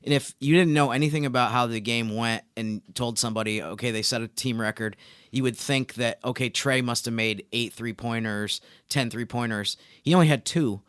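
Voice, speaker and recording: A man talks sports as a podcast co-host, with a smooth, clean voice of average pitch. His delivery is conversational and explanatory. The recording is tight and dry. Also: moderate pace, fairly bright tone, no echo.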